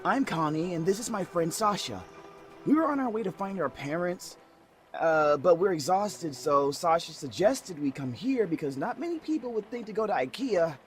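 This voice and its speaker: A woman playing a youthful, nervous, friendly kid survivor in an audio drama, chatty, excitable and prone to stammering. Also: on the low side, normal speed, soft background music. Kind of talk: fan audio drama acting.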